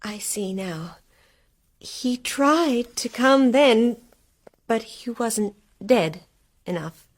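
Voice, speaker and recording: An actress in a radio drama playing an anxious, stricken wife who speaks in a hushed, intense way. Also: female voice, fairly high pitch, expressive, extremely slow, full character voice. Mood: hushed dread. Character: anxious, stricken wife uncovering a ghostly truth.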